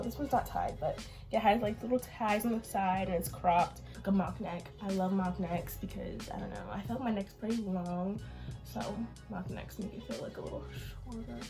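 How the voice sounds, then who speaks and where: An eighteen-year-old woman vlogger with a nasal, congested voice from allergies, chatting casually through a Q&A. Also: mid-range voice. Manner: chatty, informal, lots of fillers. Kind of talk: casual Q&A chat to camera.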